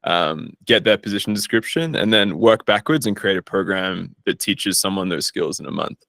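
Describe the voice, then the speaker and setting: A man's voice: deep voice, expressive, normal speed, vocal fry, a bit bright, no echo. A man chatting casually as an interview guest, informal and self-deprecating, recorded in a studio. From Australia. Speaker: guest, startup founder and investor.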